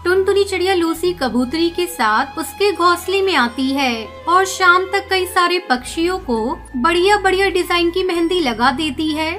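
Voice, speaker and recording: A woman's voice: extremely high pitch, fairly bright tone. A woman narrating a children's cartoon story in a scripted storytelling style.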